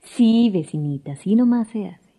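An actress playing a knowing neighbour in a radio drama, explaining recipes in a husky, dark, sing-song voice. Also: female voice, medium pitch, expressive, normal speed, rough recording. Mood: helpful. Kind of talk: 1990s radio drama acting.

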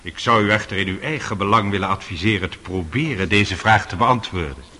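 Husky, formal presiding judge. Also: male voice, lowish voice, pushed and bright. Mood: formal, advising. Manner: measured, official. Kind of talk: radio drama acting.

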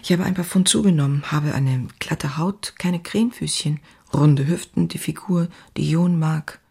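An actress playing a passionate, anxious woman writer in her thirties, reading her diary in an intimate, confessional way with a husky, breathy voice. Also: low voice, moderate pace, a little mellow, no room sound, heavily acted. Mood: restless, hopeful. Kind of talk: dramatic literary diary reading.

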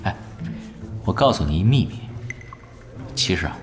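A man playing a laid-back, deadpan veteran gamer. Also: deep voice, moderate pace, over music. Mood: wry. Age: 20s. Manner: easygoing, playfully deadpan. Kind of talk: audio drama acting.

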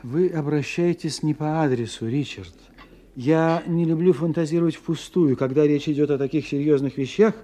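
A learned scientist in a radio drama, played by a male actor, with a soft, mellow and fairly high male voice. He is courteous and cautious, weighing his words with hesitant, skeptical care. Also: lightly acted. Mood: musing. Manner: hesitant, courteous, deliberate phrasing. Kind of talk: 1990 Russian radio play dialogue.

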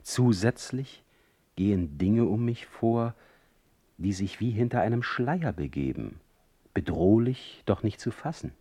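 An actor playing a sardonic, proud exiled poet in a radio play, speaking in eloquent, ironic, deliberately phrased monologue. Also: male voice, on the low side, lively intonation, mellow, husky, dry recording. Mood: ironic, troubled.

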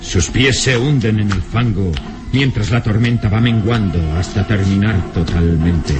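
A man's voice: on the low side, unhurried, over music, close to an everyday voice. A male actor as a solemn, dramatic storyteller, narrating an old radio serial in a theatrical, grandiloquent way.

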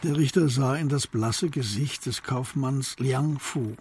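A man's voice: medium pitch, a little slow, darkish tone. Male storyteller, middle register, scripted crime drama narration, slight noise behind.

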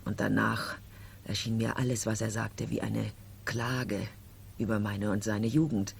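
Eine Schauspielerin mittleren Alters spielt in einem Hörspiel der Siebziger eine stolze, herrische Marchesa, mit tiefer, rauer Stimme und theatralischem Nachdruck. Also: female voice, a bass voice, level tone, measured pace, gravelly, slight background noise, heavily acted. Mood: brooding, fervent. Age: middle-aged. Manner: theatrical, emphatic, haughty. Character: proud, middle-aged marchesa, insistent and imperious. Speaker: radio drama actor. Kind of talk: 1970s radio drama acting.

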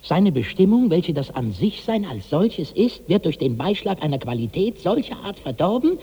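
Harried attendant for radio play: high husky voice, theatrical, coaxing, in a reverberant hall. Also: male voice, very animated, moderate pace, mellow, muffled recording, full character voice. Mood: coaxing, salesmanlike. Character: harried, eager male servant feeding his master words. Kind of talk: 1960s German radio drama acting.

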